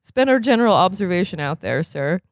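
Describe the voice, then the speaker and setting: A man voicing a polite young male tennis student who answers with quick, deferential replies. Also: sits high, sing-song, no room sound, clean studio sound. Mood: politely wry.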